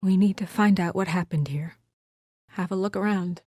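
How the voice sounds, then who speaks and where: An actress as a serious young woman investigator in a noir fantasy game. She is restrained and businesslike, with a dry edge, in a medium-pitched voice. The recording is close and free of room sound. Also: normal speed, darkish tone, no room sound, close to an everyday voice. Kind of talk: video game voice acting, naturalistic dramatic dialogue.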